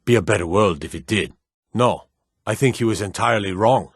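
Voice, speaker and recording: A man voicing a stern, dutiful fantasy city guard in a video game, speaking in a gruff, formal, clipped way. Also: lowish voice, brisk, dry recording, clean studio sound. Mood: earnest, concerned. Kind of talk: scripted game character dialogue.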